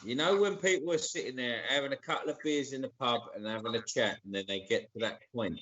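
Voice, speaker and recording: A man's voice: medium pitch, fast, fairly bright tone. A man in his fifties hosting a podcast, talking with rambling enthusiasm in a casual pub-style chat. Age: 50s. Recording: dull lo-fi line with faint noise.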